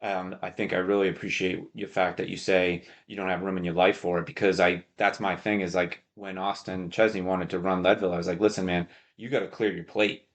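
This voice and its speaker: A man's voice: deep voice, level tone, a little fast, few pauses. A man hosting a podcast in a casual, conversational style, explaining things in an encouraging, coach-like way. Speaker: podcast host.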